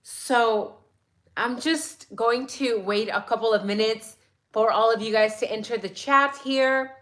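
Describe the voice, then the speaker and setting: Woman hosting a true crime stream, bit raspy today, kinda high voice, lots of "like" and "right?". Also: a little slow. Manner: chatty, excitable, many "like"s and "right?"s. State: slightly raspy voice. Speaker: true crime livestream host. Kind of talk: casual livestream chat.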